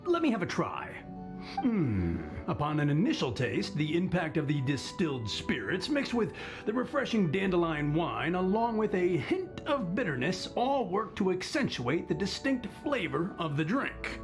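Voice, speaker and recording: A man voicing an excitable, fussy winery manager in an anime game, formal, effusive and a bit pompous. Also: high voice, normal speed, over music, exaggerated voice. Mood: pompous, appraising.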